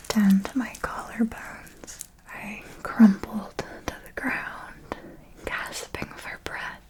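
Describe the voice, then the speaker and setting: Female performer for seasoned vampire mentor, ASMR roleplay. Whispered, extremely unhurried, formal and theatrical, doting yet menacing. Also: a little high, poor audio. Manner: slow, deliberate, theatrical, formal diction.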